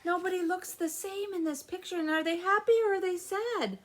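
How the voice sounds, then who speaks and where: A woman's voice: very high voice, brisk. A woman presenting story time for young children, reading aloud in an exaggerated sing-song way and asking the children questions.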